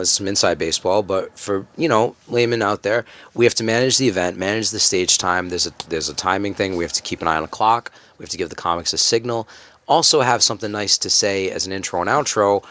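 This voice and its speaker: A man's voice: sits low, fairly quick, fairly bright tone. A man from Rhode Island, a comedian guest with a big broadcast voice, speaking earnestly and emphatically on a casual podcast. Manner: earnest, emphatic, self-assured.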